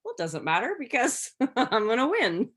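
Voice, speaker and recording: A woman hosting a casual interview, encouraging and quick to agree and laugh along. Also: fairly high pitch, big pitch swings. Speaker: host. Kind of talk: casual video-call interview.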